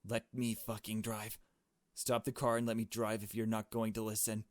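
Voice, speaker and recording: A man's voice: fairly low pitch, monotone, moderate pace, no room sound, clean studio sound, natural voice. A man voicing a hot-tempered, impatient young male accomplice in a visual novel, brash, sarcastic and foul-mouthed. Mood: exasperated.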